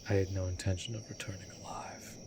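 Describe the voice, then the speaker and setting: A man's voice: deep voice, lightly acted. A man playing an immortal vampire master, a tender and brooding lonely lover, speaking formally and often breathily in a romantic fantasy audio roleplay. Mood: grave, confessing. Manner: formal archaic wording, slow and deliberate, often dropping to breathy whisper. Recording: steady background noise of night crickets. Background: night insects and crickets.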